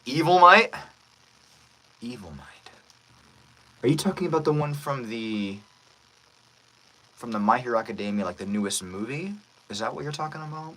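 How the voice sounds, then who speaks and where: A man who is a voice actor, chatting casually with livestream viewers in an animated manner. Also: mid-range voice, normal speed, pauses often, slight background noise, rough recording. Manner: animated, reads out viewer comments. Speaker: voice actor, streamer.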